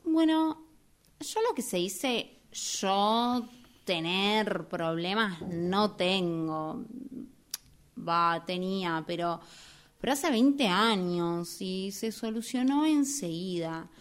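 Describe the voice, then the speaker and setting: A woman playing an earnest, talkative long-time therapy patient in an amateur radio play, with a Rioplatense Argentine accent and a halting, polite, apologetic manner. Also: mid-range voice, lively intonation, smooth. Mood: hesitant confession. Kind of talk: amateur radio theatre acting.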